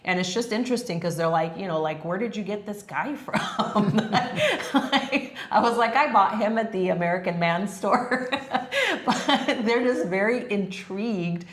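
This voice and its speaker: A woman's voice: medium pitch, measured pace. Co-host, female, native Spanish speaker, friendly and filler-heavy, recorded in a roomy space. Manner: friendly, laughs often, many fillers. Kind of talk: casual travel chat. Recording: echoey room.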